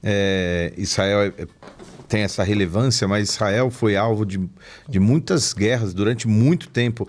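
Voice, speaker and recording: A man co-hosting a podcast who speaks haltingly, with frequent restarts and fillers. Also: lowish voice. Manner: hesitant, restarts often. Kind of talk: casual podcast chat.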